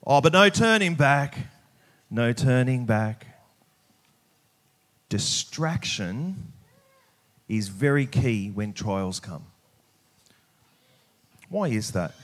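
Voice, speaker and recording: A man preaching a sermon, speaking emphatically and pausing deliberately on key words. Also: mid-range voice, very animated, fairly bright tone. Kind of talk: sermon with scripture reading.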